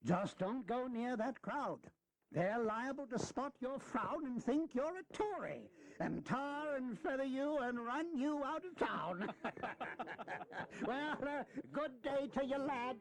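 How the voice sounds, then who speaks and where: A leisurely, high-voiced, witty old statesman. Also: animated, slow pace, full character voice, poor audio. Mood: jovial, teasing. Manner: theatrical, leisurely, teasing. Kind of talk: historical audio drama acting.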